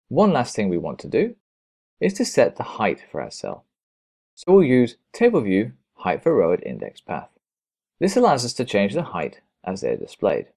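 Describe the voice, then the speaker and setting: A man's voice: mid-range voice, gravelly, darkish tone. A man narrating a step-by-step programming screencast as an instructor, in a methodical, explanatory way.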